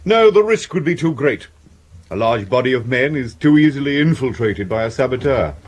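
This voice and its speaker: An actor playing a discreet, cautious Victorian secret service aide with a formal manner, in a 1970s radio drama. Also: male voice, medium pitch, big pitch swings, moderate pace.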